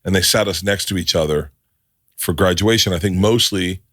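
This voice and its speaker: Middle-aged male podcast host, lowish voice, animated storyteller who acts out quotes, dry close sound. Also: normal speed, no room sound. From Tampa, Florida. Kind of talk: casual storytelling chat.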